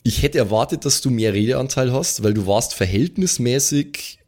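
A man's voice: medium pitch, expressive, normal speed, darkish tone. A man chatting casually and colloquially with slang, looking back as a game master. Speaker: game master on an actual-play podcast.

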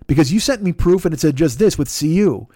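A man with a fairly high-pitched voice tells a rambling, profane comic story as a podcast host. He swears constantly and acts out the exchanges he quotes. The sound is dry and close, with no room echo. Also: animated, fairly quick, mellow.

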